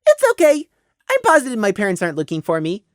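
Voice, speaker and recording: A woman's voice: mid-range voice, huge pitch swings, fast, no echo, studio quality, voice pushed up. A woman voicing a malicious young colt who feigns sweet, wide-eyed innocence in a storybook reading. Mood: feigned wonder. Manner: chirpy, exaggerated wide-eyed enthusiasm.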